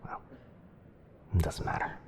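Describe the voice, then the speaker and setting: A man playing a gentle young wizard boyfriend in an ASMR roleplay, speaking softly and often near a whisper with a tender, hesitant manner. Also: unhurried, a little hiss or hum, close to an everyday voice. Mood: wistful. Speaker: roleplay audio performer.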